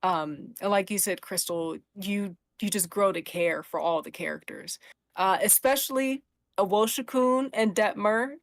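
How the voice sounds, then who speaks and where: A woman's voice: medium pitch, measured pace, dry recording. Casual woman podcast panelist, close dry sound. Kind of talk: casual chat about a TV show.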